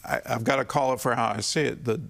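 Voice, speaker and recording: A man hosting an interview podcast, asking questions in a hesitant, halting way. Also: mid-range voice, steady tone, gravelly, fairly bright tone. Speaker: host.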